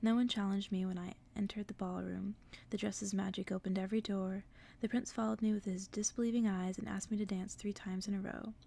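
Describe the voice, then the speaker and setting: A woman reading a story aloud in a soft, breathy, unhurried ASMR whisper, as if to send the listener to sleep. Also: mid-range voice, little pitch movement.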